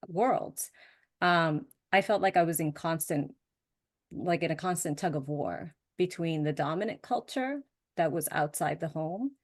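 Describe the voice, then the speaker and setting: A middle-aged woman, a Spanish speaker, giving a prepared talk in a measured storytelling way. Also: fairly low pitch. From United States. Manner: measured storytelling, some fillers.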